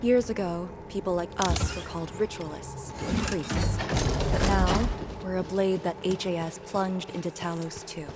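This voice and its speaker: A woman's voice: lowish voice, fairly even intonation, unhurried, close to an everyday voice. A woman voicing a stern, composed mission leader in a video game, serious and clipped in her delivery. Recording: game music behind the voice. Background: game soundtrack.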